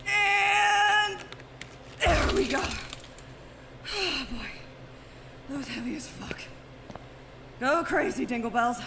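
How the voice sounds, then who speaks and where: A woman's voice: high voice, takes it slowly, pauses often, reverberant, heavy background noise. A woman voicing a brash, bossy young woman who swears constantly, in a fan audio drama.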